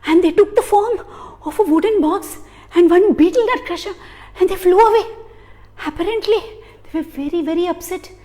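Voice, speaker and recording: A boastful flame spirit, breathless with gossip and pitched up high, insisting its tale beats all others, as a woman storyteller puts it on. A bright, excited storybook voice. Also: normal speed, close to an everyday voice. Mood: gossipy.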